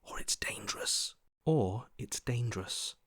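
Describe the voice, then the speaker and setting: A man voicing a wary, skittish prey creature for a video game, hushed and breathy, often close to whispering, with a cautious, watchful delivery. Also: mid-range voice, expressive, a little slow, no echo. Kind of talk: video game character acting.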